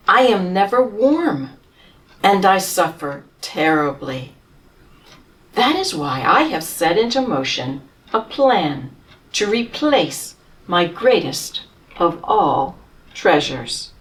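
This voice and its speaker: Pompous whiner of a male camel, read by a woman from a storybook, slow, lively pitch, mic at a distance. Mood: grandiose. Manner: grandiose, whining, theatrical complaints. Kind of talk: storybook reading.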